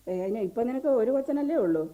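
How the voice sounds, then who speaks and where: A woman's voice: sits high, animated, racing, dark tone, dull audio, a little hiss or hum. An actress playing a reproachful older mother in a radio drama, scolding emphatically in a southern Kerala Malayalam accent. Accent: Kerala Malayalam, southern Travancore. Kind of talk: mid-century Indian radio drama acting.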